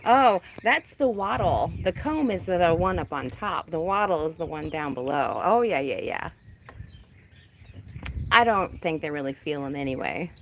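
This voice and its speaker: A woman chatting casually on a live stream from her chicken coop outdoors, talking to her birds, with a calm, disinterested-sounding voice. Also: on the low side, unhurried, a little hiss or hum. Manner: chatty, laughs often, talks to her birds. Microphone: phone held in hand.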